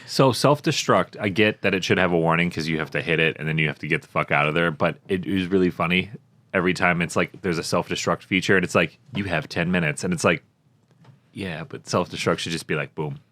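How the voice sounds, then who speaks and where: A man's voice: low-pitched, a little fast, fairly bright tone, dry recording. A man co-hosting a podcast with casual, crude, joking banter. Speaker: podcast co-host.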